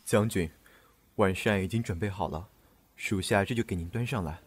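A man acts a loyal soldier attendant in an ancient Chinese radio play. His voice is fairly low, with a deferential, caring manner. The recording has faint noise and clicks. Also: normal speed, close to an everyday voice. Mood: concerned, deferential. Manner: deferential, solicitous. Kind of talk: Chinese period audio drama acting.

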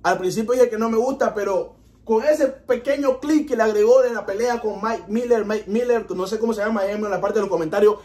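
A young man from Honduras with a Honduran Spanish accent, chatting excitedly as he reacts to a music video in a small room. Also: sits high, a little fast. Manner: chatty, excitable, animated with hand gestures. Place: small indoor room in front of a TV.

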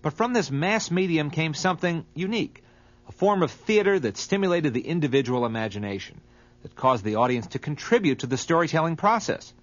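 Male narrator, animated intonation, scripted documentary style, slightly muffled recording. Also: on the high side, brisk. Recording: dull, muffled audio.

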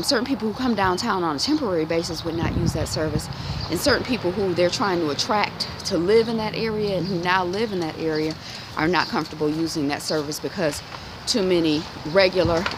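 A woman's voice: lowish voice, fairly quick. Woman vlogging while walking outdoors. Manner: conversational, musing, many fillers and restarts. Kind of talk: casual walk-and-talk monologue. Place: residential street outdoors, walking. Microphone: phone camera at arm's length. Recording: outdoor street noise behind.

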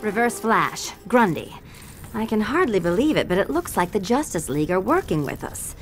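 An actress playing a businesslike villain ringleader giving orders in an animated video game. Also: female voice, medium pitch, moderate pace, faint music behind, lightly acted.

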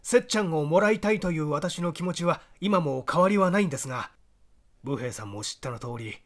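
A man voicing a troubled, earnest young diner owner in audiobook dialogue, explaining himself in a conversational way. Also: a little high.

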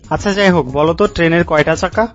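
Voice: medium pitch, racing, lightly acted. A performer voicing a skeptical, teasing cartoon listener who questions and interrupts with sarcasm. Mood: curious, prodding. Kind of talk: comic cartoon dialogue. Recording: dull lo-fi audio, clear music behind.

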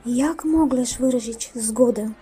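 A woman's voice: a little high, takes it slowly, warm tone, husky, full character voice. A woman voicing a broken Christ figurine in a religious cartoon, solemn, firm and instructive. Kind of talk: amateur religious drama in a cartoon.

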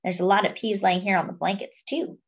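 A young adult woman reading a picture book aloud to small children, addressing them in a deliberate sing-song way. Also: mid-range voice, fairly even intonation. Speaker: children's story reader.

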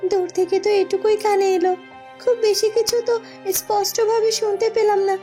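Palace maid, woman actor: protective, suspicious, tiny high voice, mellow tone, cartoon storytelling with music. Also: steady tone, a little fast. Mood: suspicious, determined. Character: loyal, suspicious young palace maidservant, earnest and protective. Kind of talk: cartoon fairy-tale voice acting. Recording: music behind the voice.

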